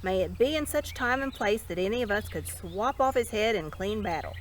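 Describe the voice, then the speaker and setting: A woman's voice: mid-range voice, lively intonation, normal speed, a little hiss or hum, natural voice. A woman voicing a thoughtful, principled noble king in a storybook, with formal and earnest phrasing. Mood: resolute.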